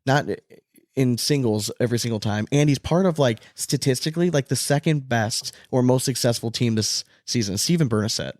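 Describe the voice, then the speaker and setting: A man's voice: mid-range voice, a little fast, darkish tone, no echo, clean studio sound. A man chatting casually as the host of a sports podcast.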